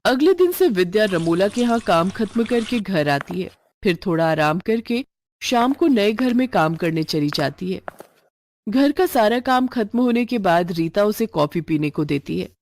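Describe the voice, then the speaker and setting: A woman narrates a scripted moral story as a storyteller. Her pitch is medium and the sound is dry, with no room around it. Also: animated, moderate pace, darkish tone, dry recording.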